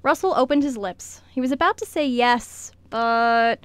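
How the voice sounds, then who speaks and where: A woman's voice: on the high side, normal speed, clean tone, no echo. A young American woman speaking as a radio show co-host and script reader. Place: radio studio.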